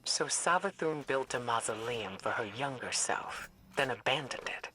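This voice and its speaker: An actor playing a stern, commanding leader who speaks in a measured, grave, authoritative way. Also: male voice, mid-range voice, lively intonation, bright, forceful tone, breathy edge, rough recording. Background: music.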